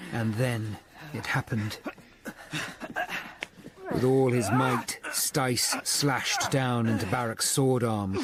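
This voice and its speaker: An actor playing a grave Tudor lawyer-detective who narrates a radio drama in the first person, measured and sombre. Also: male voice, mid-range voice, heavy background noise, lightly acted.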